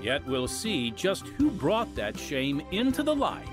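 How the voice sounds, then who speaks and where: A man's voice: fairly high pitch, huge pitch swings, a little slow, music in the background. A man hosting and narrating a scripted audio drama podcast with a dramatic storyteller's delivery. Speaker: host and narrator.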